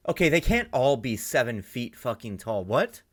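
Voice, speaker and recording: A man hosting a casual reaction video, talking excitedly, swearing often and thinking aloud with many restarts. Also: medium pitch, normal speed.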